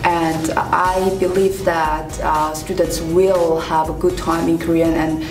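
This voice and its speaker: A low-pitched woman with a gravelly edge, speaking haltingly with frequent fillers. She sounds roomy and set back from the mic. Music plays underneath. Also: flat delivery, distant mic. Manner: halting, many pauses and fillers. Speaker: instructor. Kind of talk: promotional interview.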